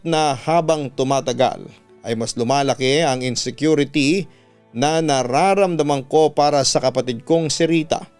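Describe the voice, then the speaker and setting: A Filipino man narrates a personal story in a dramatic radio announcer's style. His voice sits a bit high for a man and is clear and resonant. Music plays behind him. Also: darkish tone. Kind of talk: dramatic narration of a listener's letter in first person. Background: background music.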